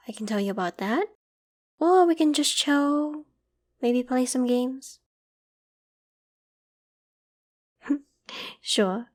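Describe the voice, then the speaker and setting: Friendly, higher-voiced werewolf girl acted by a woman. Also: close to an everyday voice. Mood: friendly, playful. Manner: casual, conversational, gently protective. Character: friendly, protective half-human female werewolf, young, self-conscious. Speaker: voice actor in audio roleplay. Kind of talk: ASMR roleplay, acted monologue to the listener.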